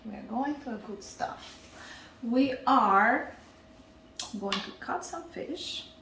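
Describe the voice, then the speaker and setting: A woman's voice: on the high side, expressive, takes it slowly, echoey room, far from the mic, background noise. A woman with a Ukrainian accent hosting a casual cooking demonstration, chatty and often checking in with her viewers. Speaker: cooking show host.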